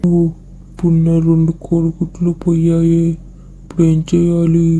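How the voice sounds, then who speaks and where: Casting: woman as dim, innocent cartoon watermelon; dark, creaky voice for a moral tale. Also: fairly low pitch, completely flat delivery, moderate pace, rough recording. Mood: dejected. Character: naive, gullible, dim-witted talking watermelon. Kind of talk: animated moral story dialogue.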